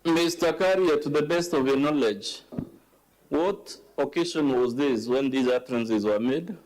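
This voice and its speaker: A Kenyan man acting as counsel, asking deliberate, leading questions at a formal hearing. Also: mid-range voice, a little mellow, a little hiss or hum, poor audio. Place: legislative chamber hearing.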